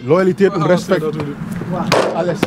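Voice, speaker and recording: Male vlog host, West African accent, non-native speaker, slightly high voice, joking and bragging casually, clearly noisy background. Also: fairly even intonation, normal speed. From Gambia. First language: not German. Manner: jokey, boastful, non-native phrasing. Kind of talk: casual, rambling vlog chat. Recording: noisy car and street ambience.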